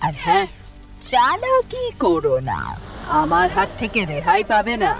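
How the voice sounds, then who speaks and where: A woman's voice: on the high side, huge pitch swings, measured pace, creaky, over music, exaggerated voice. A woman playing a taunting, threatening hacker villain in a cartoon crime drama, with a mock-friendly, teasing menace. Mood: menacing. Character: taunting car-hacking villain making cold threats. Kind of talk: animated crime drama acting.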